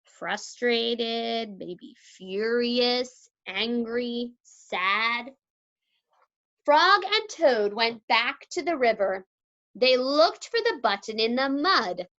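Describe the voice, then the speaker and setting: A woman teacher reading a storybook aloud to young children with expressive, deliberate articulation, in a slightly dull lo-fi recording. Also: fairly high pitch. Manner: deliberate articulation, asks listeners questions.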